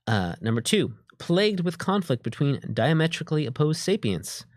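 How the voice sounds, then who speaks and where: A man's voice: fairly high pitch, sing-song, normal speed, dry recording, studio quality. A man co-hosting a tabletop game stream, chatting casually and reading out options with loose, hesitant explanations. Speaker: co-host of a tabletop game livestream.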